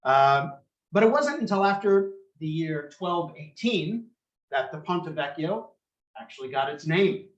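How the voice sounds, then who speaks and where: A man with a loud voice giving a public lecture, conversational and precise, speaking into a handheld microphone. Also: a little high. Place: lecture room. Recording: echoey room.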